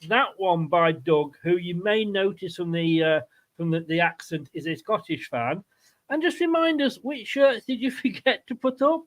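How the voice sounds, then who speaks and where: High-pitched man hosting casually. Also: expressive, normal speed. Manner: jokey, teasing, laughs easily. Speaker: host of a football fan channel show. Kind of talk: casual livestream chat.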